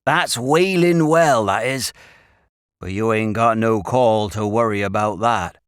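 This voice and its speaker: A man's voice: fairly low pitch, unhurried, clean tone, no echo, clean studio sound, close to an everyday voice. A man voicing a grave old country shepherd with a rural English accent, speaking emphatic, folksy dialect in a storybook reading. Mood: genial.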